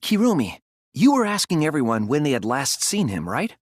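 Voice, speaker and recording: A man voicing an earnest, soft-spoken teenage detective in a video game, reasoning carefully through a case. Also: fairly high pitch, wildly swooping pitch, moderate pace, dry recording, clean studio sound. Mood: insistent. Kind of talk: video game voice acting, deductive exposition.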